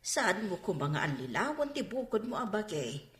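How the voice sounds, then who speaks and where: A woman's voice: medium pitch, very animated, natural voice. An actress playing a concerned mother earnestly admonishing her daughter in a radio drama. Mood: gentle, worried. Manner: slow, earnest pleading. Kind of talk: Filipino radio drama acting. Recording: echoey radio drama studio recording.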